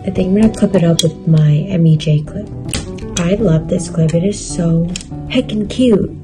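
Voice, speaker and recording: A woman's voice: lowish voice, normal speed, music in the background. A young woman vlogging at home, chatting casually and tiredly to a phone camera with lots of fillers. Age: young adult. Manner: relaxed, chatty, filler-heavy with "like" and "kinda". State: low energy, tired. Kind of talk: casual vlog chat to camera. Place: bedroom, indoors, small. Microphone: phone camera at arm's length.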